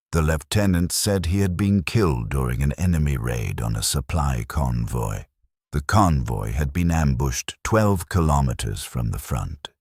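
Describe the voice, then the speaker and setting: Really deep guy doing somber story narration, stoic tired soldier clerk type, measured but pitch moves around a lot, dry sound. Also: a little mellow, slightly rough, no echo. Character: weary, stoic military supply clerk recounting wartime losses. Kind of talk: scripted first-person story narration.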